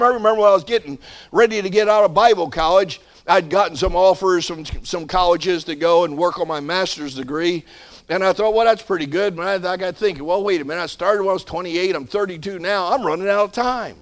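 A man in his sixties preaching a sermon, swelling to emphatic peaks and dropping to quiet asides, often repeating words as he builds a point. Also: high-pitched, a little fast. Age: 60s. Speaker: pastor.

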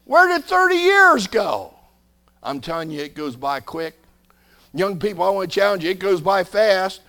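Fervent high-voiced preacher in his sixties. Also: very animated, moderate pace, vocal fry. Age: 60s. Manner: preaching with surging emphasis and quiet drops. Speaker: pastor. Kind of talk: sermon.

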